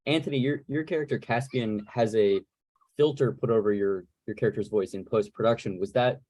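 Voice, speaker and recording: A man's voice: lowish voice, a little mellow, no echo. Chatty, wordy man moderating, very dry sound. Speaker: moderator of a panel Q&A. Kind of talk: casual conversational interviewing. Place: remote video call.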